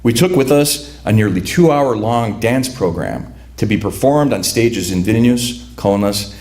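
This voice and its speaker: A man with a fairly low voice reads from his own book at a literary evening. He talks reflectively, with hesitations and repeated words, and Lithuanian is his first language. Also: a little mellow. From United States, raised among Lithuanian migrants. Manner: reflective, halting with repeated restarts. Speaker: author. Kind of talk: reading his prose at a literary event.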